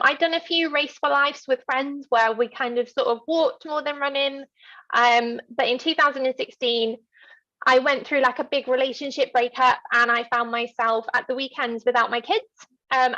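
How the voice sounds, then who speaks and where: A woman's voice: a little high, bright, forceful tone. A woman, chatting casually as a guest in an interview, on slightly dull lo-fi call audio. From London, England. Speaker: interview guest, ultra runner.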